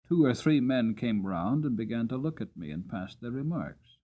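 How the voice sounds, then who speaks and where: A man narrating a story as a dramatic audiobook reader, expressive and deliberate in his phrasing. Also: medium pitch, phone-quality sound.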